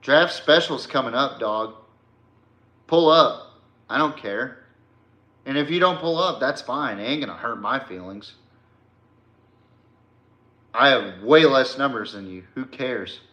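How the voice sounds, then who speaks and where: A man's voice: mid-range voice, expressive, a little slow, pauses often, bright, forceful tone. A man hosting a sports podcast, delivering a blunt, confrontational rant in slangy, informal speech. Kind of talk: combative sports rant addressed to a rival. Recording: echoey room.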